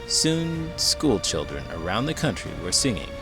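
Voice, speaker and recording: A man's voice: on the low side, very animated, moderate pace, over music. A man reading a children's book aloud as a host, with dramatic, emphatic delivery. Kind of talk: expressive storybook read-aloud.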